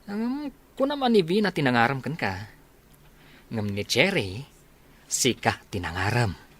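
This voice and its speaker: A man's voice: medium pitch, very animated, unhurried, a bit bright. A man with an Ilocano accent playing a frank young village friend in a comedy radio drama. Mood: frank, teasing.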